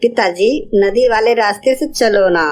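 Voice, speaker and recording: Cartoon role: innocent little schoolboy, voiced by a woman, medium pitch, earnest and pleading. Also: unhurried, faint music behind, close to an everyday voice. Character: innocent, eager young village schoolboy. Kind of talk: cartoon moral-story voice acting.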